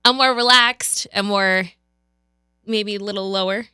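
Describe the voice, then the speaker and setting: A woman's voice: mid-range voice, fairly even intonation, measured pace, bright, forceful tone, clean tone, dry recording, studio quality. A young woman from Maryland who works as a radio personality, chatting casually as a podcast guest with lots of fillers and restarts. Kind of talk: casual chat.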